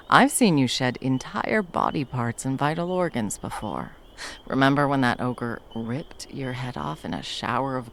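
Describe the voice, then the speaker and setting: A woman's voice: a bass voice, a bit bright, slight background noise, heavily acted. A woman playing a battle-hardened monster hunter, violent yet a tender protective lover, in an intimate ASMR roleplay. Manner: intimate, sweary, teasing and soothing endearments. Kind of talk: ASMR roleplay, intimate scripted monologue addressed to the listener.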